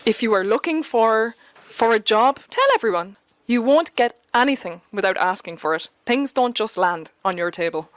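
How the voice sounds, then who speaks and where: A woman's voice: fairly high pitch, animated, moderate pace, no echo. A woman with an Irish accent, answering interview questions in a direct, confident way. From Ireland. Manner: direct, confident, advice-giving. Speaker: guest.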